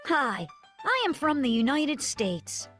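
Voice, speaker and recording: Female, high and very animated, sing-song kids' narration over light music. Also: slow pace. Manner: bright, sing-song, clearly read. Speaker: narrator of a children's video. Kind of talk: scripted narration for children.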